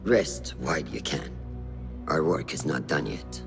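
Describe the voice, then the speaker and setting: An actor playing a stern, commanding male mentor in a video game, speaking gravely and with authority. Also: a bass voice, expressive, measured pace, music in the background, heavily acted. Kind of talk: video game cutscene acting.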